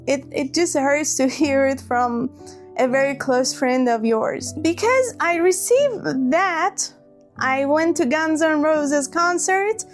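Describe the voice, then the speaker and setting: A woman telling a personal story to camera, hurt and hesitant, often restarting her words. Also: high voice. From Iran. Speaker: vlogger.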